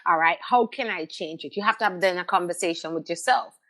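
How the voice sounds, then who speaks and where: A woman's voice: on the low side, a little fast, a bit bright. A middle-aged woman, speaking as an interview guest in an emphatic, conversational way, repeating phrases for stress. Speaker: podcast guest, radio host.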